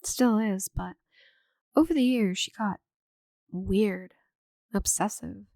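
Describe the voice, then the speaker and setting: A woman playing a friendly, chatty, slightly scatterbrained forest witch in an ASMR roleplay audio drama, with a gentle, theatrical manner. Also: medium pitch, slow, gravelly, dry recording, studio quality. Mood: wry reflective.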